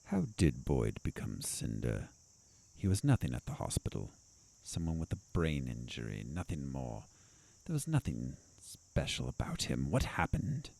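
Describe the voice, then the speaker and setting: Villainous doctor for audio drama, male actor: very deep, theatrically swooping, haughty and deliberate. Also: huge pitch swings, creaky, a little mellow, full character voice. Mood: probing.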